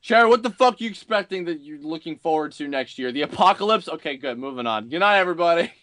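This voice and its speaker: A man hosting a casual group chat, asking questions in a brisk, sarcastic way. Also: a little high, lively intonation, a bit bright, no echo. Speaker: podcast host asking questions.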